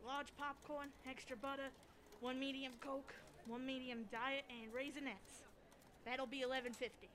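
Female cinema character, actress in audio drama: high voice, plain-spoken, casual delivery, noticeable background noise. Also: little pitch movement, moderate pace, natural voice. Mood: routine service. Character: female cinema-going film fan and concession clerk. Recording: clear background ambient noise.

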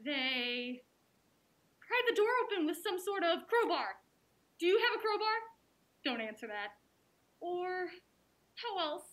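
A woman acting an anxious, sharp-tongued young working woman named Alma in a theatrical old-time radio-style drama, with snappy, dry retorts. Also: extremely high pitch, sing-song, measured pace, pauses often, exaggerated voice.

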